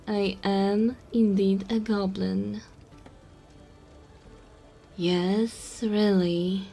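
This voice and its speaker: A woman's voice: mid-range voice, mellow. A woman playing a small, cheeky goblin bartender in a fantasy audio roleplay, teasing and chatty with the customer. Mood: playful, welcoming. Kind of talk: fantasy audio roleplay, addressing the listener softly.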